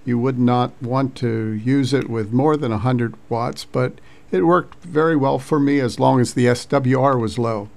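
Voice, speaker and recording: A man narrating an informal hobby video recap with hesitant, halting phrasing. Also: medium pitch, fairly even intonation, gravelly, darkish tone.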